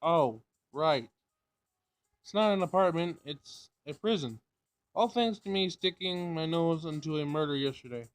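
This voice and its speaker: A man reads the part of a young amateur detective in a visual novel, sarcastic and teasing like an older brother. The voice sits slightly high, with a casual read-aloud delivery. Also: lively intonation, unhurried. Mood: wary, grumbling.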